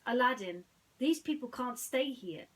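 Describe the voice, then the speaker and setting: A woman's voice: on the high side, expressive, a little slow, voice pushed up. A woman voicing a gracious but firm young storybook princess, polite and emphatic. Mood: firm, relenting.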